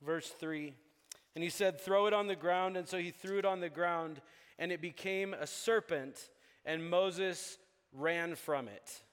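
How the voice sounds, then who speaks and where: A man's voice: sits high, unhurried, smooth. A man who grew up in Uganda, preaching a sermon and acting out dialogue with little chuckles. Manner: animated, acts out dialogue with chuckles. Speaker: pastor. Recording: long hall reverb.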